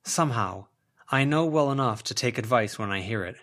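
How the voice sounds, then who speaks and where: A man's voice: mid-range voice, expressive, a little fast, fairly bright tone, close to an everyday voice. A man voicing an anxious, apologetic young woman with a hesitant, placating manner in an audiobook. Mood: flustered, pleading. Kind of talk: audiobook character voice.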